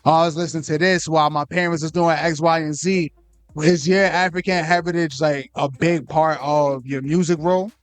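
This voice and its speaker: A man's voice: on the high side, steady tone, normal speed. A man hosting a radio interview, asking questions in a casual, joking way full of slang. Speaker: radio show host.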